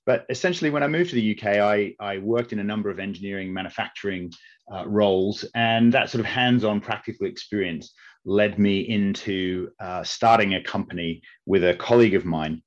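A middle-aged man with a very low voice and a faint Australian accent, worn down by years living in Britain. He answers interview questions in a measured, thoughtful way, restarting phrases often. The audio sounds a little dull and lo-fi. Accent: Australian, softened by long UK residence. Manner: measured, with frequent restarts and fillers. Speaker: investment network CEO, guest.

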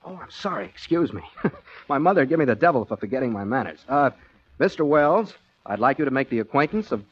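A man's voice: mid-range voice, big pitch swings, a little fast, slightly rough. A man playing an affable, naive, scatterbrained amateur private detective in a 1940s radio comedy drama. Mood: polite, flustered. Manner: mild, polite, scatterbrained, hesitant. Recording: muffled vintage 1940s radio recording, music behind.

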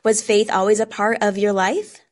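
A woman's voice: mid-range voice, flat delivery, moderate pace. A woman co-hosting an interview in a conversational style. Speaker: interview co-host.

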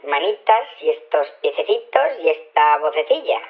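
Flustered tiny security chief on phone. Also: male voice, sing-song, moderate pace. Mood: resigned, candid. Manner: hesitant, candid. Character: flustered security chief shrunk to half size, small high voice. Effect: pitched-up tiny voice. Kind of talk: scripted radio drama phone interview. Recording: muffled narrow telephone line.

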